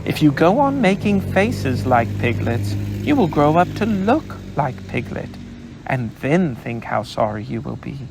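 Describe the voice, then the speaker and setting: Man voicing motherly kangaroo, cheerful, firm, slightly high, gravelly, faint vehicle noise. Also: sing-song, a little slow, heavily acted. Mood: severe. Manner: cheerful, soothing yet firm. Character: motherly brisk female kangaroo.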